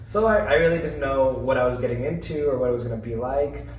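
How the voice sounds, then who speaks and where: A man from California telling his story casually, relaxed and full of fillers. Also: mid-range voice, fast. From California, USA. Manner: relaxed, chatty, many "like" and "you know" fillers. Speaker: guest. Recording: roomy, distant mic, faint noise.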